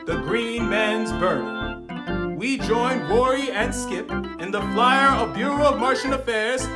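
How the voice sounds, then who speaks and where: A woman's voice: a little high, takes it slowly, loud music behind the voice. A woman playing a hearty old-time radio serial announcer, grand and declamatory.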